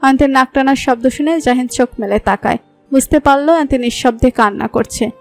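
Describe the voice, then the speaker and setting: A woman narrating a romantic story in a smooth, even storytelling voice. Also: high-pitched, fairly quick. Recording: soft background music.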